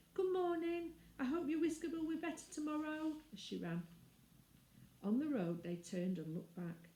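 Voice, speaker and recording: Mellow-voiced woman reading a children's story. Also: medium pitch. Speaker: storybook reader. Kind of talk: expressive children's story narration. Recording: roomy indoor echo.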